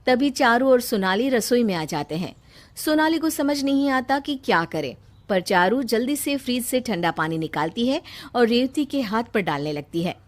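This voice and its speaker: Woman narrator with a highish voice. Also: lively intonation, moderate pace. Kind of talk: scripted storytelling narration.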